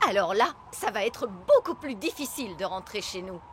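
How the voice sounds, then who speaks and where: A woman's voice: medium pitch, very animated, normal speed, slight background noise, close to an everyday voice. A woman voicing a grumpy, exasperated fairy nanny in a French cartoon dub, sarcastic and matter-of-fact. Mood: dry, doubtful.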